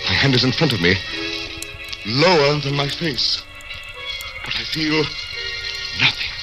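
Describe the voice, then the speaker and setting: An actor playing a frightened, weakened captive soldier in a halting, anguished vintage radio horror style. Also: male voice, medium pitch, lively intonation, close to an everyday voice, poor audio. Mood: tense, halting. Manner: halting, anguished, theatrical delivery. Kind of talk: 1940s radio horror acting. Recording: vintage radio recording with background music.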